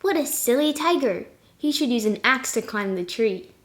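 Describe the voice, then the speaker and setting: A woman's voice: fairly high pitch, lively intonation, normal speed, pitched above the performer's own voice, close to an everyday voice. A woman voicing an innocent, trusting little girl in a folktale, childlike and earnest. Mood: amused.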